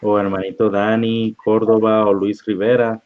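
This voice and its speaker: A man leading a live-streamed Bible study, reading scripture aloud at an unhurried pace and often checking in with "¿verdad?". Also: on the low side, level tone. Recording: dull lo-fi online stream audio.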